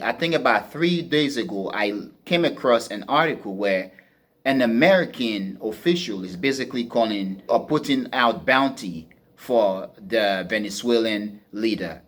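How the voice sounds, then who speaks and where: A man speaking as a commentator, unscripted, in a deliberate, emphatic manner, often rephrasing himself. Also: lowish voice, animated, fairly bright tone. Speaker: podcast host and political commentator.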